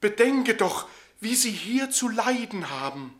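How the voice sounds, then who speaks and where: A gentle, meek lover of animals in a radio drama. He speaks in a mild, soothing way, with simple earnestness. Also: high-pitched, very animated, measured pace, heavily acted. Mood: earnest. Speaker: actor. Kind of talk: German radio play acting.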